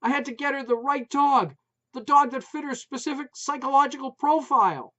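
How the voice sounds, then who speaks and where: A reader voicing an emotional wife who shouts shrilly over the noise of barking dogs. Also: sits high, brisk, highs cut off, voice pushed up. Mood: shrill shouting.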